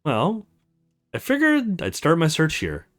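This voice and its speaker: A man's voice: on the high side, huge pitch swings, unhurried, faint music behind, pitched above the performer's own voice. A man voicing an earnest, protective young male hero in a visual novel game. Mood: worried.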